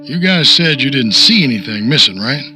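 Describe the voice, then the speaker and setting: An easygoing, businesslike policeman in an audio drama. His mid-pitched voice is bright and he speaks in a matter-of-fact, obliging way. Music runs underneath. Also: lively intonation, normal speed. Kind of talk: naturalistic audio drama acting.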